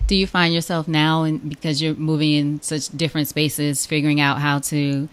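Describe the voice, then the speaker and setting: A woman's voice: sits low, level tone, moderate pace, clean tone. A woman hosting an interview, asking questions and affirming the guest often with mm-hmms. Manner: warm affirming, frequent mm-hmms. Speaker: podcast host.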